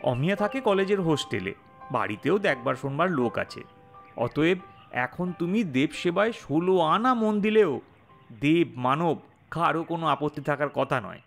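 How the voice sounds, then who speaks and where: Male actor as reluctant, wry writer for comic audio play; lively swings, slightly high, teasing. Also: normal speed, creaky, faint music behind. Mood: earnest, teasing. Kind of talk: comic audio drama dialogue.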